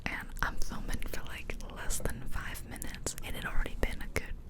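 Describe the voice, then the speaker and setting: A woman whispering softly and breathily in a chatty, confiding ASMR style, close to the microphone. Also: normal speed. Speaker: ASMR video creator. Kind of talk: whispered ASMR casual storytelling. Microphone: close ear-to-ear ASMR microphone.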